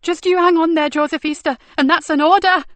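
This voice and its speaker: A woman voicing a fierce female police detective, talking loudly and forcefully. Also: high voice, measured pace, no room sound, pitched above the performer's own voice. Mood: desperate. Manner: loud, forceful, desperate.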